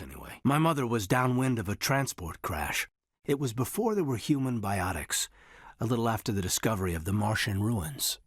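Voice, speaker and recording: An actor playing an earnest, guarded military officer in a video game, with a husky voice and a respectful, formal manner. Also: male voice, lowish voice, dry recording, studio quality. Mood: explaining, serious. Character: earnest, guarded young soldier with biotic powers, a loyal officer.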